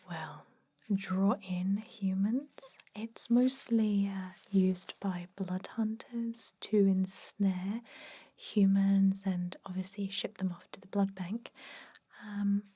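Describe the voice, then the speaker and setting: A woman playing a gentle, chatty vampire dentist in an ASMR roleplay, whispering slowly and breathily to soothe and confide. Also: mid-range voice, husky. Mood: chatty, confiding. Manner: slow, soothing, hesitant, confiding.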